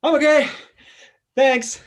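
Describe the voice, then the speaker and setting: A man's voice: high-pitched, big pitch swings, normal speed, slightly rough, full character voice. A man playing a naive, exuberant grown man raised as an elf in a comedic script read, wide-eyed, earnest and guileless. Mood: excited.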